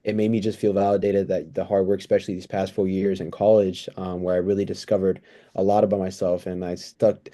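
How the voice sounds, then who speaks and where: A man in his twenties giving earnest, thoughtful, somewhat halting answers in a casual interview. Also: low voice, level tone, dark tone. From United States, born in El Salvador. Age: 20s. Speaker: interview guest, pre-physical therapy student.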